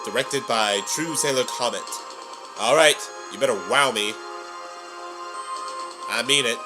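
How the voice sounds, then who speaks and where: A man's voice: medium pitch, a little slow, over music. A man hosting a reaction video in casual commentary, exclamatory and playfully theatrical.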